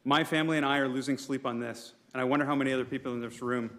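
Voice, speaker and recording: A man's voice: medium pitch, fairly even intonation, very fast, fairly bright tone. Man giving a formal prepared council address. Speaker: public speaker for a property owners' association at a council meeting. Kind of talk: prepared speech to a city council. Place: public council meeting room.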